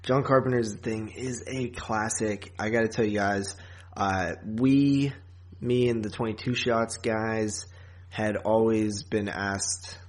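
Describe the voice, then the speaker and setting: A man chatting casually and with a fan's enthusiasm as a podcast co-host about horror films. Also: on the low side.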